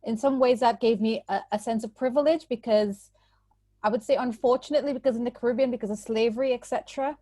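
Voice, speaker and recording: A woman, giving a measured, explanatory personal account as a guest. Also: a little high, steady tone, warm tone, no room sound. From Trinidad and Tobago. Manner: measured, explanatory, qualifies her points. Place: church recording.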